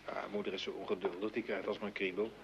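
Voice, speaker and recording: A man's voice: sits low, little pitch movement, fast. A man acting a young, artistic son in a radio drama, by turns coaxing and teasing. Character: eager, teasing young son, an amateur painter. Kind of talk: old Dutch radio play acting. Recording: dull radio recording with clear noise.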